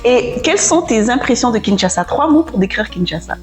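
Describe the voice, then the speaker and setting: A woman's voice: on the high side, expressive, fairly quick, soft background music. A woman hosting a casual interview show, chatting informally and sometimes slipping English phrases into her French. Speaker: host interviewer of a show about returning to the homeland.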